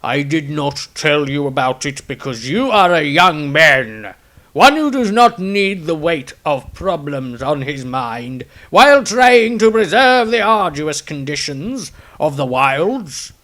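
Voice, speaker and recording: Man playing a solemn old wizard master, slow and projected. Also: fairly high pitch, very animated, pushed and bright, heavily acted. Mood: solemn, tender. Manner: grave, deliberate, declaiming. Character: dying old male wizard master, solemn, kindly mentor.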